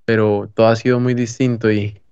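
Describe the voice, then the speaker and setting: Hombre colombiano, audio opaco y seco. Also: male voice, on the low side, level tone, a little fast, darkish tone, clear, resonant tone, no echo, lo-fi sound. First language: Spanish. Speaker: podcast co-host. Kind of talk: casual chat.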